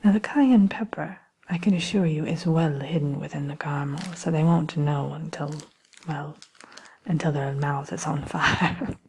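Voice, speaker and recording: A woman voicing a sly, genteel evil queen in an ASMR roleplay, soft-spoken and often slipping into whispers, with breathy, hesitant, politely wicked phrasing. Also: deep voice, moderate pace, heavily acted. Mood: coy, vengeful.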